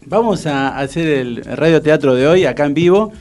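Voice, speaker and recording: A man's voice: on the high side, animated, moderate pace, darkish tone, faint music behind. Casual Buenos Aires man hosting radio. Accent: Argentine, Buenos Aires. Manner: informal, chummy, repeats himself. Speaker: radio host. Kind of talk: casual live radio chat.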